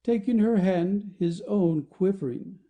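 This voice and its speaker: Very dark-voiced male narrator. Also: high voice, a little slow. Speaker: narrator reading stage directions. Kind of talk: scripted narration of an audio play.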